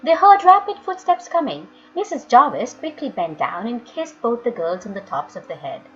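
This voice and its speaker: Muffled recording over music, woman storyteller, high voice, emphatic children's audiobook narration. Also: moderate pace. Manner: animated, dramatic, emphatic phrasing. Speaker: storyteller reading aloud to children. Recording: dull lo-fi audio, music underneath.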